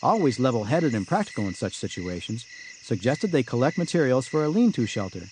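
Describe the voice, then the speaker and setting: A man's voice: medium pitch, big pitch swings, a little fast, a little mellow, a little hiss or hum. A man reading a satirical storybook as scripted audiobook narration. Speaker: author reading own audiobook.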